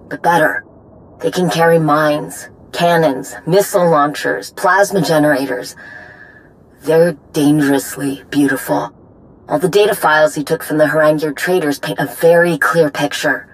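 Game warrior woman: low-pitched, blunt, dry, proud, no time for niceties. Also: poor audio. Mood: admiring, then serious, concerned. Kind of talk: video game cutscene acting.

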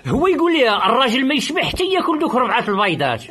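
A man with a Moroccan accent telling short comic stories as a lively narrator. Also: extremely high pitch, racing, breathy edge. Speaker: joke teller, narrator. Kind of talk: animated comic storytelling of short jokes.

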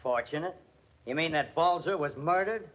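An actor playing a gruff, bullying police detective in 1940s radio style, brusque and slangy. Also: male voice, fairly high pitch, lively intonation, normal speed, close to an everyday voice. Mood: suspicious, curt. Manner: brusque, slangy tough-guy talk. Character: gruff, bullying, impatient police detective who hates music. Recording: muffled, hissy 1940s radio recording.

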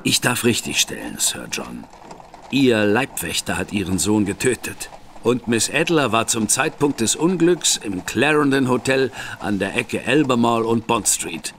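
An actor playing a composed gentleman investigator with a dry, sardonic manner in a radio drama. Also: medium pitch, expressive, a little slow, a little hiss or hum.

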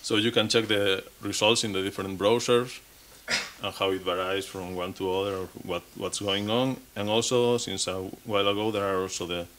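A man's voice: lowish voice, little pitch movement, normal speed, a bit bright, a little hiss or hum. A man in his thirties giving a technical conference talk on stage at a podium mic, with frequent restarts and repeated words. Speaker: conference speaker.

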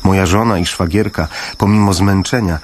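An actor playing a grave father who narrates in the first person in a radio play, measured and full of pauses. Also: low voice, fairly even intonation, a little hiss or hum, close to an everyday voice. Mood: somber. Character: somber father narrating his family's wartime flight.

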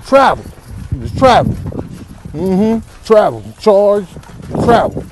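A performer voicing a cocky male bull who talks excitable, repetitive street-ball trash in a comic animal voiceover. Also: mid-range voice, takes it slowly, background noise. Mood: indignant, flustered. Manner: excitable, repetitive slangy taunting.

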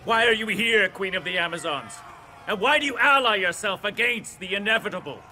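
A man playing a scornful, wary Greek commander in a video game, forceful and taunting. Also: sits high, lively intonation, a little fast, slight background noise, heavily acted. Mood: challenging. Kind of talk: dramatic video game acting.

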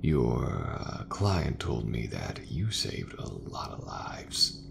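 Very low male voice, acted, easygoing folksy sci-fi repairman, soft half-whisper, slow and reassuring, ASMR roleplay, rumbling background noise. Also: sing-song, full character voice. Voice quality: gravelly, breathy, half-whispered. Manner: slow, unhurried, reassuring, colloquial. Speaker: voice actor.

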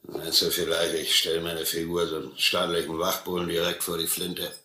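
Low, forceful, surly boat boss. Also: male voice, completely flat delivery, normal speed, roomy sound, slight background noise. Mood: drunken accusing. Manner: gruff, needling, accusing. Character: surly, bullying, hard-drinking boat boss. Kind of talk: German radio play acting.

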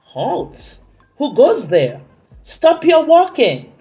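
Lady doing a silly gruff snowman guard for kids, shouty n stubborn, music playing behind. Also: high voice, huge pitch swings, extremely slow, voice pushed up, exaggerated voice. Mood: challenging. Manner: loud, abrupt, emphatic.

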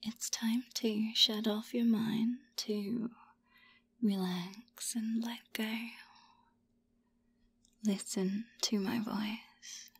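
A woman whispering a guided ASMR hypnosis script in a breathy voice, soothing yet commanding and suggestive, with drawn-out pauses. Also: a little high, level tone.

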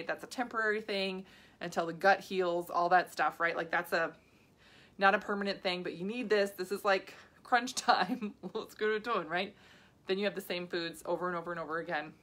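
A woman's voice: on the low side, fairly quick, fairly bright tone. A woman in her thirties, a health coach, chatting casually to viewers on a live video with many asides and restarts.

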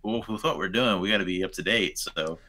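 Low, very bright-voiced man chatting casually. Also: a little fast. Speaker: podcast guest. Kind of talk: casual chat about anime and manga. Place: home room with bookshelves, video call.

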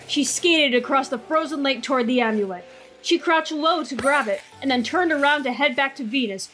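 High-voiced woman narrating a storybook. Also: a bit bright. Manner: measured, storytelling. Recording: faint music behind.

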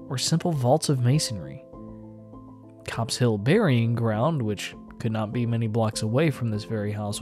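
A man's voice: medium pitch, darkish tone. A man narrating a classic horror story in a dramatic, literary audiobook style.

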